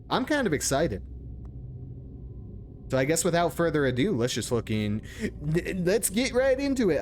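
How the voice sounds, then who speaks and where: A man's voice: fairly high pitch, slight background noise. A man giving casual live commentary as a game streamer, informal and joking, sometimes reading on-screen text aloud.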